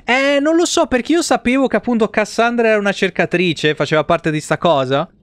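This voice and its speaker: Higher-pitched man streaming games. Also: brisk, fairly bright tone, clear, resonant tone, dry recording. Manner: informal, mild exclamations. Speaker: video game streamer. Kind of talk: casual live game commentary, reading game text aloud. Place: small indoor streaming setup.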